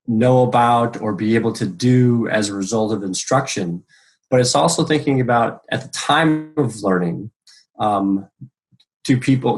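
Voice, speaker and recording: A middle-aged American man answering interview questions in an online talk, speaking with many pauses and restarts. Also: medium pitch, low-quality recording. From United States. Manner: halting, many ums and restarts. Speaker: education professor.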